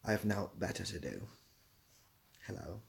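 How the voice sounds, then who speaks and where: A man's voice: low-pitched, sing-song, normal speed, creaky, slight background noise. A young man hosting a casual livestream, reading out comments and giving dry, self-deprecating, rambling answers. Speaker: livestream host.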